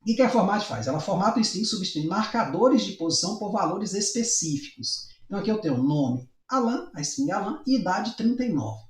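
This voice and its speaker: A man's voice: sits high, animated, brisk, few pauses. A man with a Brazilian Portuguese accent explaining programming in a casual coding tutorial, often checking in with tag questions. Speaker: programming teacher. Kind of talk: screen-recorded coding tutorial. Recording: echoey room.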